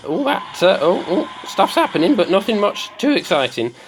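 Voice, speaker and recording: Someone putting on the voice of a slightly mad, excitable woman doing theatrical video game commentary, repeating phrases for effect. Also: medium pitch, moderate pace, slight background noise, poor audio. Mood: excitable, curious. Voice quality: put-on comic voice. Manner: theatrical, repeats phrases, swoops between squeals and murmurs.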